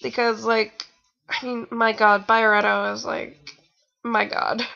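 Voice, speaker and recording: A woman's voice: fairly high pitch, level tone, slow, vocal fry, sharp tone, highs cut off. A young adult woman giving a mocking amateur fan fiction reading with rambling, exasperated, theatrical asides, sounding a bit tired. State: tired, sore nose.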